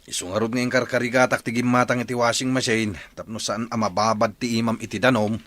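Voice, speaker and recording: Working village father played by a man, Ilocano accent, complaining and insistent, medium pitch, close dry radio-play sound. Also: level tone, fast talker, fairly bright tone, dry recording, lightly acted. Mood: grumbling. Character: grumbling, demanding working husband and village father. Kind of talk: Ilocano radio drama acting.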